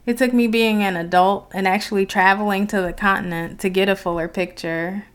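A woman's voice: mid-range voice, fairly even intonation, a little fast, smooth. A woman from Detroit with an American accent, hosting a reflective solo podcast in an articulate, conversational way. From Detroit, United States. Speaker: podcast host.

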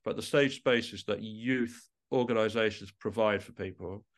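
A man speaking as a musician guest in a reflective interview, halting and often rephrasing mid-sentence. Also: lowish voice.

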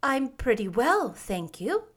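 Woman playing a polite, high-voiced little girl. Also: lively intonation, moderate pace, a bit bright. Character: polite, curious, kind little farm girl.

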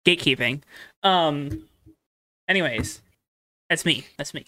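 A man's voice: fairly high pitch, unhurried, sharp tone. A man co-hosting a casual racing podcast, rambling along with frequent ums and restarts. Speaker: podcast co-host. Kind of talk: casual motorsport chat.

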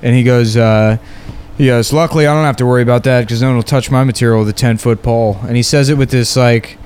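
A man's voice: mid-range voice, fairly even intonation, quick pace, darkish tone, smooth. A man chatting casually as a comedy podcast co-host, with crude jokes and mock bravado.

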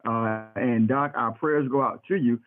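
A man's voice: medium pitch, a little slow, faint music behind. A middle-aged man hosting a radio interview in a casual, effusive, brotherly way, often repeating his phrases. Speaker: radio host and pastor. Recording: muffled phone-like radio audio, roomy echo.

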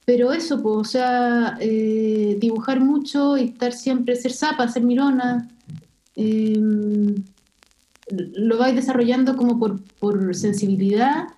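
A woman from Chile with a Chilean Spanish accent, an illustrator speaking thoughtfully as an interview guest and pausing often with fillers. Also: on the high side, mellow, poor audio. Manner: thoughtful, hesitant with many fillers. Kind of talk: casual interview conversation.

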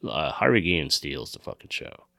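A man's voice: rumbling low, big pitch swings, brisk, vocal fry, a bit bright, dry recording, clean studio sound. A man co-hosting a casual chat about films, talking informally and swearing freely. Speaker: podcast co-host.

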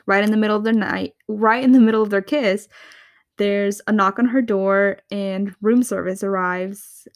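A woman's voice: medium pitch, no echo, clean studio sound. A woman hosting a casual recap podcast, chatty and gossipy, often laughing between thoughts. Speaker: podcast host.

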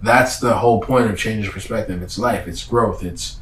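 A man's voice: lowish voice, normal speed, crowd noise. A young man speaking casually as an interview guest, in slangy, emphatic phrases that he often repeats. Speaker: interview guest, comedy event host. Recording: echoey room.

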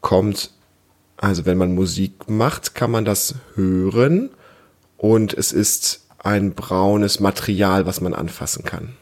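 A man's voice: low voice, steady tone, slow, a little mellow. Patient, encouraging male podcast host. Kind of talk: casual family podcast chat with a child.

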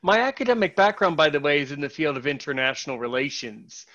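A man's voice: on the high side, sing-song, fast, fairly bright tone, dull audio. A man hosting a podcast in a casual, rambling way, with fillers and restarts. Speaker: podcast host.

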